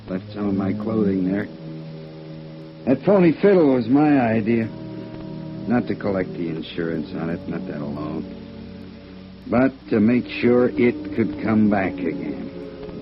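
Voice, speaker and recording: An actor playing a ruined alcoholic violinist, weary and remorseful, halting and dramatic in 1950s radio drama style. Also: male voice, on the low side, lightly acted. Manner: halting, dramatic, self-loathing. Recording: dull vintage radio recording, music behind.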